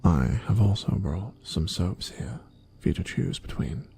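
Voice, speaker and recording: A man voicing a kindly, fatherly wolf farmer in a soft-spoken, whispered ASMR roleplay, soothing and unhurried as he murmurs. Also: low-pitched, lively intonation, creaky, faint music behind, full character voice. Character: kindly dad-bod wolf farmer, gentle, fatherly caretaker. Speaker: ASMR performer.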